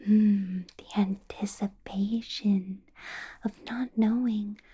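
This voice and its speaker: Slow, whispering vampire boss played by a woman. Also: mid-range voice, steady tone, warm tone, lo-fi sound. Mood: softly menacing. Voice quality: breathy whisper. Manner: slow, deliberate, teasing, with lingering pauses. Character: centuries-old female vampire boss, menacing, toying, falsely pleasant. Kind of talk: whispered ASMR roleplay, personal attention.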